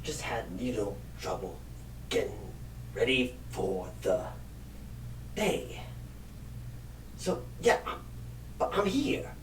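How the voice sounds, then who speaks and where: A man playing a clueless, flustered job recruiter in an ASMR comedy roleplay, stammering and repeating himself in a husky, halting voice. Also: on the low side, sing-song, slight background noise, voice pushed down, low-quality recording. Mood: defensive, muttering. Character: flustered, clueless, absent-minded male job recruiter, apologetic and rambling. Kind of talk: ASMR comedy roleplay, celebrity-style impression.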